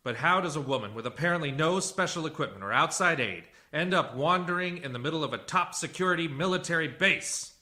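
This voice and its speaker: A man's voice: fairly high pitch, lively intonation, fairly quick, few pauses, pushed and bright. A man acting in a radio play as a blunt, self-assured civilian interrogator and psychologist who talks in a dry, cutting way. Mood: cool, assertive. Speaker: actor.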